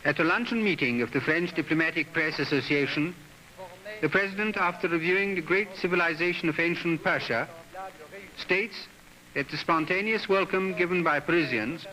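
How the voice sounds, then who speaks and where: Bright, high-pitched male 1960s newsreel narrator. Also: poor audio. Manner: stately, formal, declamatory. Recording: 1960s newsreel soundtrack with music. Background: background music.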